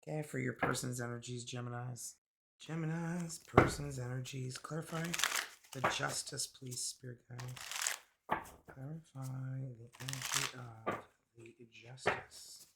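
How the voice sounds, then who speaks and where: A hoarse man from Canada with a raspy voice, chatting casually through a tarot card reading with many fillers. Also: medium pitch, steady tone, measured pace, very noisy. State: hoarse, recovering from illness. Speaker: tarot reader.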